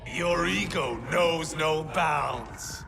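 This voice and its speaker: A man voicing a resentful, envious rival villain in a cinematic fantasy game, drawn-out and menacing, in long reverb with music behind. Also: on the high side, creaky, pushed and bright, heavily acted.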